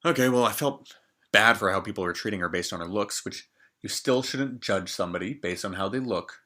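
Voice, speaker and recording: Young man, storyteller, bright forceful voice, expressive reading, casual jokey asides. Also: fairly low pitch, fairly quick. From Canada. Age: 20s.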